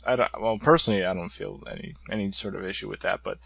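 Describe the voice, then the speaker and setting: Low, gravelly man, hesitant. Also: fairly even intonation, quick pace, a bit bright, dry recording, clean studio sound. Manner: hesitant, searching for words, many "uh" and "um". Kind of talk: casual chat.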